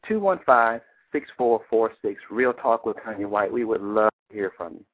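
A co-host on a call-in radio show who jokes around and laughs a lot. His voice is low with a husky edge. He comes through a tinny, narrow phone line. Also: moderate pace. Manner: playful, joking, laughs a lot. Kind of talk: casual call-in radio chat.

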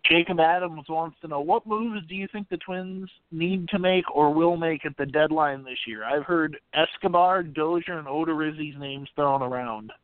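Higher-voiced American man, phone-like sound. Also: normal speed, few pauses, no echo. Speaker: podcast host and interviewer. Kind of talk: conversational sports interview. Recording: narrow, tinny phone-like sound.

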